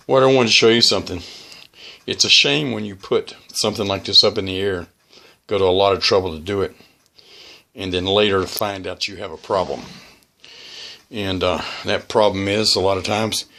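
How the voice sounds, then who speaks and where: A man with a fairly low voice and a bright, forceful edge talks through a hands-on hobby demonstration. His delivery is casual and halting, with plain-spoken words of caution and practical advice. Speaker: amateur radio hobbyist presenting a how-to video.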